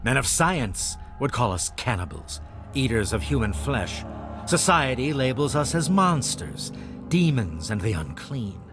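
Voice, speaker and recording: An actor playing the solemn leader of an underground flock of outcasts in a video game, speaking in formal, preacher-like oratory. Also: male voice, medium pitch, sing-song, measured pace. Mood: solemn, grandiose. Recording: music behind the voice.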